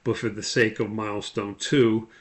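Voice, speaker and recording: A man giving an instructional walkthrough as a course instructor, explaining in a halting way and spelling out terms. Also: on the low side, flat delivery.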